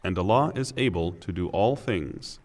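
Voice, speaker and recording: A man reads translated scripture in a lowish voice, solemn and formal. His phrasing is deliberate, yet the pitch moves in a lively, expressive way. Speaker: translation reader.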